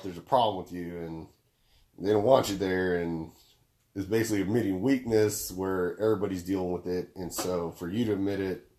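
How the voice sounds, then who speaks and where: Man with a deep, dark baritone. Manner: laid-back, swears freely, explains with long stories. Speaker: podcast co-host. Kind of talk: casual podcast chat.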